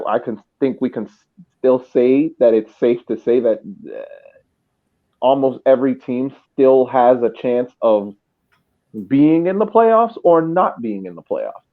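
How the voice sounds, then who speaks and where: A man co-hosting a casual sports podcast, restating his points as he talks. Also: medium pitch. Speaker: podcast co-host. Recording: thin, phone-like remote call sound.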